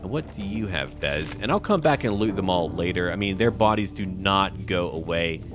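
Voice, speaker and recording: Low-voiced man doing lively game commentary. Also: moderate pace, over music. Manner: talks to game characters and thinks aloud. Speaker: gaming video host playing and narrating. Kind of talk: casual let's-play commentary.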